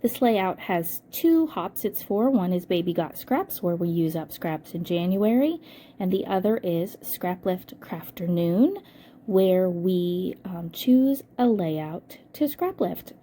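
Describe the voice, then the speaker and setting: Hoarse woman narrating her crafting. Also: fairly low pitch, lively intonation, takes it slowly, mellow, a little hiss or hum. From United States. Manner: chatty, thinks aloud with frequent ums. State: hoarse from a cold. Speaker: scrapbooking channel host. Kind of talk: casual crafting voice-over.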